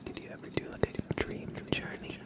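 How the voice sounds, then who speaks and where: An ASMR streamer chatting casually in a soft, rambling whisper during a livestream. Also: fairly quick.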